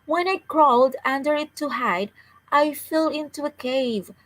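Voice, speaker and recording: A woman's voice: sits high, a little slow, voice pushed up, poor audio. A woman voicing a scared little girl in a children's storybook, pleading for help. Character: frightened little girl lost in a dark cave.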